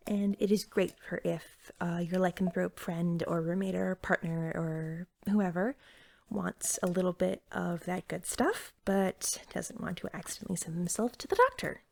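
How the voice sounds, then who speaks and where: A woman playing an eager, friendly cashier at a magical pet store in a soft-spoken ASMR roleplay, often slipping into a whisper and chatting in a gentle, rambling way. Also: medium pitch, creaky, a little hiss or hum, natural voice. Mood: chatty, helpful.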